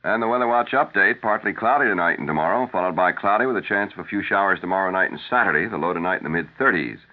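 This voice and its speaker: A narrow, tinny vintage AM radio broadcast carries an American newsman with a fairly low voice. He reads scripted bulletins like a 1970s anchor, formal and measured throughout. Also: animated. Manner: formal, measured announcer delivery.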